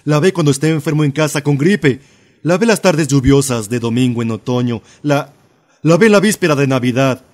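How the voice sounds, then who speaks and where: A man's voice: a little high, moderate pace, darkish tone, natural voice. A man with a Latin American accent playing an earnest, meddling, dramatic best friend in a comedy fan dub. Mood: solemn. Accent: Latin American Spanish.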